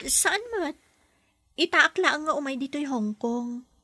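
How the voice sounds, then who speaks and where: A woman's voice: sits high, animated, slow, sharp tone, no room sound, exaggerated voice. A woman as a defiant young nanny. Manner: firm, pushes back politely.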